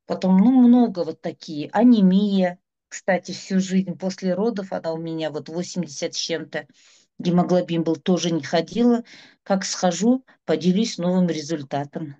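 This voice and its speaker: A woman's voice: on the low side, moderate pace, warm tone. A middle-aged woman, giving a chatty, rambling personal testimonial about her health. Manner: chatty, rambling, listing ailments. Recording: dull lo-fi sound.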